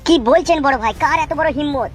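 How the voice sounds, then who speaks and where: Excitable, defiant henchman for a cartoon, played by a woman: high-pitched, cheeky, extremely quick. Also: faint music behind, lightly acted. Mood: indignant.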